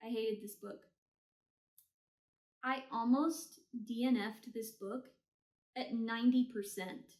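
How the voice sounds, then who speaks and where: High-voiced woman chatting about books. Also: steady tone, slow pace, pauses often, darkish tone. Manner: blunt, hyperbolic opinions. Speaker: book reviewer. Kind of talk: casual chatty ranking of books read. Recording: roomy, echoey sound.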